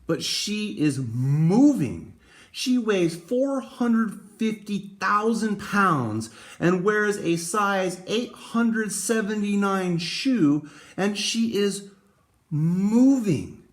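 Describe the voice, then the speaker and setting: A man reading a picture book aloud, with dramatic emphasis and deliberate pauses. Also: high-pitched, animated. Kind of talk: expressive storybook read-aloud.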